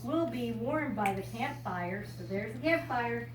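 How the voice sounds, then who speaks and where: A woman's voice: fairly high pitch, animated, a little slow. Older American woman talking to kids, echoey. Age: 60s or older. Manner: simple, explanatory, addressing young listeners. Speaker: storyteller and RV enthusiast visiting children. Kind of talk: informal talk and picture-book reading to children. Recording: echoey room, distant mic, loud background noise.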